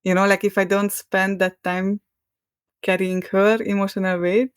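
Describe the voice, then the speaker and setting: A woman's voice: medium pitch, little pitch movement, moderate pace, clear, resonant tone, dry recording, clean studio sound. A young woman with a Hungarian accent, a podcast guest talking in a rambling, hesitant way and laughing often between thoughts. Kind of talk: casual conversation.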